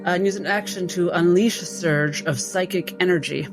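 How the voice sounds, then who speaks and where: A woman playing a tabletop game, narrating her character's actions in a halting, dramatic way. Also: fairly low pitch. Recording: music bed under the voice.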